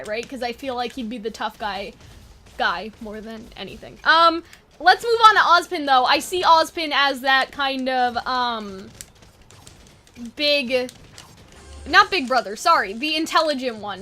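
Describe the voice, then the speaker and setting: Bright, high-pitched woman chatting casually. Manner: rambling, informal, occasionally sweary. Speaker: online video host. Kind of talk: casual unscripted brainstorming chat.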